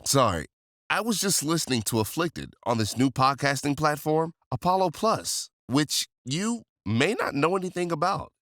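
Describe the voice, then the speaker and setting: A man's voice: fairly high pitch, expressive, moderate pace, a bit bright, no room sound, studio quality. An actor playing a chatty male podcast fan in a scripted promotional skit. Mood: excited, conspiratorial. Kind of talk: scripted in-show promo, acted.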